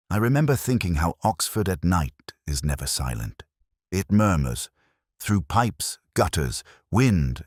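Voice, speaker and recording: A man reading a first-person detective story as narrator, in a measured, brooding, reflective way, close to a studio mic. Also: deep voice, slightly rough, no echo.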